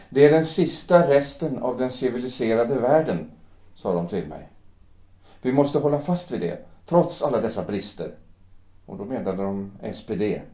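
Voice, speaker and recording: A man playing a young German shipyard worker who speaks hesitantly and haltingly, torn by conscience. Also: lowish voice, dark tone, lightly acted. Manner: hesitant, halting, repeats words. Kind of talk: amateur audio drama acting. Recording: echoey room.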